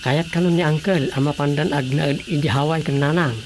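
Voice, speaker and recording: A man's voice: on the high side, steady tone, a little fast, faint music behind, close to an everyday voice. An actor playing a good-natured Filipino family man in a radio drama, with an Ilocano accent. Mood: matter-of-fact.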